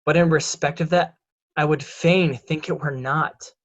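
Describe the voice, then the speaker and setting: A man playing a scheming, resentful illegitimate son in a deliberate, declamatory Shakespearean drama reading. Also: on the high side, steady tone, highs cut off, natural voice. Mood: sly, deferential. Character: scheming, resentful bastard son, smooth deceiver.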